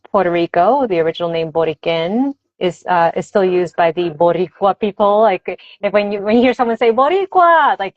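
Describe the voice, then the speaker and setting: A woman's voice: mid-range voice, dry recording. A woman who is a native Spanish speaker, explaining things conversationally as a teacher being interviewed, reflective and enthusiastic. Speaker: language teacher and advocate, interview guest. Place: dry close room on a video livestream. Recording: dull, lo-fi livestream audio.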